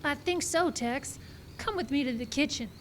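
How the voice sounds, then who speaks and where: Actress for sharp Wild West woman detective, high voice, confident old-time radio delivery, faint live-stage noise. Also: moderate pace, close to an everyday voice. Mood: confident, explaining. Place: live show in a park. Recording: live outdoor stage, some background noise.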